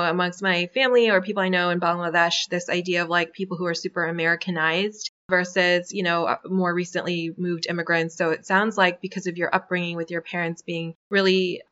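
A woman's voice: lowish voice, fairly bright tone, highs cut off, a little hiss or hum. Friendly young American woman hosting a casual podcast chat. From United States. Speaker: podcast host.

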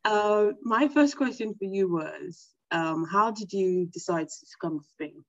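A woman's voice: fairly low pitch, animated, measured pace, dry recording, highs cut off. A woman hosting a casual podcast, friendly and giggly as she asks her guest questions. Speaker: podcast host.

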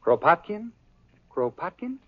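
An actor playing a smooth, polite gentleman caller in a 1940s radio sitcom. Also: fairly high pitch, sing-song, measured pace, full character voice. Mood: courteous. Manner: smooth, courteous, formal. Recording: dull old radio broadcast.

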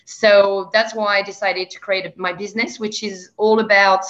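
A woman with a French accent talks through a casual interview, pausing often with ums and uhs. Her voice is bright and forceful, and the recording sounds slightly dull and lo-fi. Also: mid-range voice, little pitch movement. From France. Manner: hesitant, many ums and uhs. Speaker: guest.

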